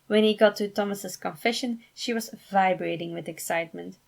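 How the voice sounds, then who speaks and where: A woman narrating a fan fiction story in an expressive amateur reading style. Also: medium pitch, normal speed, gravelly.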